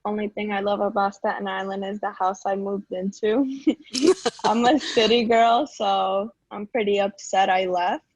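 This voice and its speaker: A woman's voice: medium pitch, steady tone, a little slow, no room sound. A young woman, a student introducing herself on a video call in a chatty, candid way. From New York City. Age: young adult. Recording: slightly muffled call audio.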